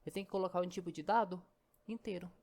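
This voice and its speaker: A woman's voice: fairly low pitch, fast, darkish tone. A woman teaching programming in a casual screen-recorded lesson, chatty and step by step, often saying "ó" and "né".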